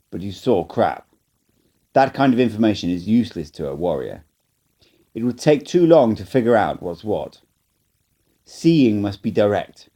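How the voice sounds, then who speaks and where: A man's voice: fairly low pitch, warm tone. A man reading an audiobook, voicing a blunt, scolding old male sorcerer teacher. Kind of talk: audiobook character voice.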